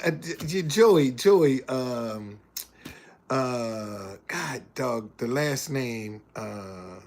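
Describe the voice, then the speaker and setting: A man in his seventies hosting a livestream, preaching about success and reading out viewers' messages in a folksy way. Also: fairly high pitch. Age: 70s. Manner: folksy, preacherly, reads chat messages aloud. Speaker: livestream host. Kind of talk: casual livestream talk and preaching.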